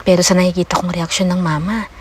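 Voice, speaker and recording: A woman's voice: lowish voice, steady tone, fast, darkish tone, slight background noise, close to an everyday voice. A woman voicing a determined, hopeful young eldest daughter in an audiobook, earnest and reflective. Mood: uneasy, puzzled. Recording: music behind the voice.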